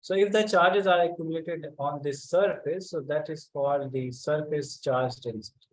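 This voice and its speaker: A man teaching a physics class online, speaking haltingly and calling on students by name to check that they follow. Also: on the high side, rough recording.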